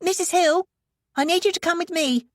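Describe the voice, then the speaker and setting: A woman's voice: very high-pitched, normal speed, no room sound, studio quality, pitched above the performer's own voice. A woman voicing an earnest, worried female schoolteacher in an audiobook, polite but insistent. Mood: urgent, worried.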